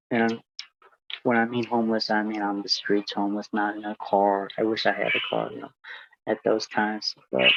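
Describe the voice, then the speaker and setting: A man's voice: lowish voice, highs cut off, a little hiss or hum. A man from Hungary, guest on an interview, talking reflectively with many fillers and repeated words. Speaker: guest speaker. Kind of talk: casual interview talk.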